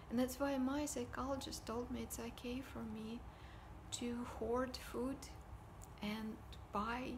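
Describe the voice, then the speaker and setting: A woman, talking casually to camera at home in a soft, often whispery voice. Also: on the high side, background noise. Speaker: vlogger. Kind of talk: casual personal talk to camera. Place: living room at home, indoors. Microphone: device camera at desk distance.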